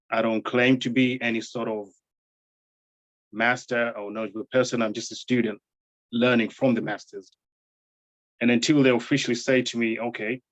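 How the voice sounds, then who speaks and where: A man's voice: mid-range voice, dry recording, muffled recording. A man from Tanzania, a guest introducing himself over a video call, talking modestly with many fillers. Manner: soft-spoken, many fillers like um and you know. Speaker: interview guest. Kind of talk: humble, measured self-introduction.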